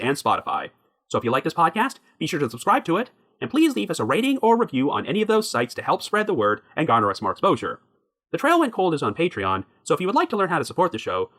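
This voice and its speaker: A man hosting a podcast, narrating a true crime story from a prepared script in a measured way. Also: on the high side, fairly bright tone. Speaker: podcast host.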